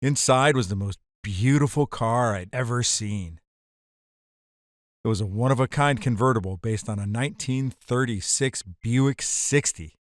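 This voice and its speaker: A man's voice: medium pitch, unhurried, no echo, clean studio sound, natural voice. A man playing a hardboiled ex-bootlegger who narrates his caper in an audio drama, terse and wry. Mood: wry, reflective.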